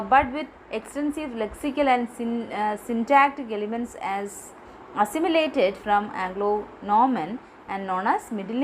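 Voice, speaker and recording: A woman giving a literature lecture from her notes, pausing often with fillers and restarting words. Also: on the high side, a little hiss or hum, rough recording. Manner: halting, many fillers and restarts. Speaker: teacher.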